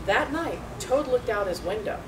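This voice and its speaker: Female amateur storyteller for young children, expressive and deliberate, lively intonation, mic at a distance with clear noise. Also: on the high side. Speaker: school staff member reading a story. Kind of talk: read-aloud children's storytelling.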